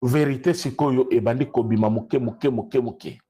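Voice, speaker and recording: A man with a Congolese accent and a husky voice, giving emphatic, unscripted political commentary and repeating phrases for stress. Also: mid-range voice, moderate pace, dark tone. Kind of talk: unscripted political commentary, switching between two languages.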